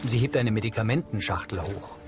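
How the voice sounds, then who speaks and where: A man's voice: fairly low pitch, fairly quick. A man reading scripted narration as the audio describer of a TV episode. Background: soundtrack music.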